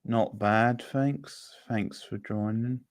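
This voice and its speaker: A man's voice: lowish voice, steady tone, takes it slowly, dry recording, studio quality. A man hosting a quiz, chatting casually and informally with viewers on a livestream. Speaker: quiz host.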